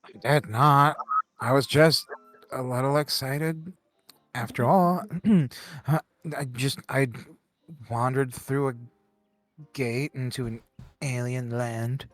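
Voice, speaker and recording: A man voicing a proud, prickly, boastful noble warrior in an amateur game fan dub, with halting delivery. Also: medium pitch, steady tone, soft background music, close to an everyday voice. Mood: defensive, flustered. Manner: halting delivery with throat clearing.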